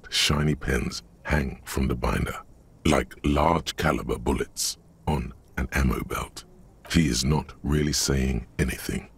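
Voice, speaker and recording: An extremely deep, husky male inner voice for a video game. He narrates in a literary, brooding way, deliberate and theatrical, with heavy gravel in the throat. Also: full character voice.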